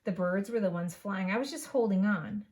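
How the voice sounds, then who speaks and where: A woman's voice: mid-range voice, normal speed, a little mellow, lightly acted. An earnest young frog from a storybook, read by a woman. He is polite and modest, a stubborn dreamer who speaks sincerely.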